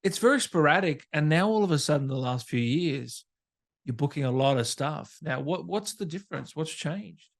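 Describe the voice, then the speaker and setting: A man's voice: a little high, expressive, moderate pace, gravelly. A man interviewing a guest as a podcast host, in a casual, conversational style.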